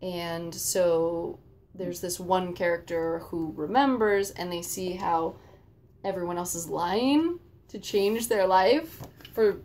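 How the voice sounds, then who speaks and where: A woman with a slightly low voice talks casually about books as a channel co-host. There is faint noise behind her as she speaks in an informal, conversational style. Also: takes it slowly, darkish tone. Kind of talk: casual book chat.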